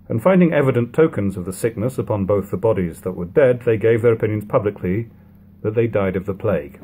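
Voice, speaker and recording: A man in his thirties giving a measured, even reading of old prose at home on a webcam. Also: medium pitch, slightly rough. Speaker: reader of a classic book. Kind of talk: unhurried audiobook reading. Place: living room at home.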